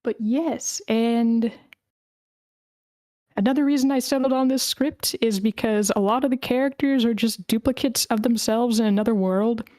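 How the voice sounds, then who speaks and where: Clear, mellow woman hosting casually. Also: on the high side, no echo. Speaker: host of an online screenplay table read. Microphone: close microphone on an online voice call.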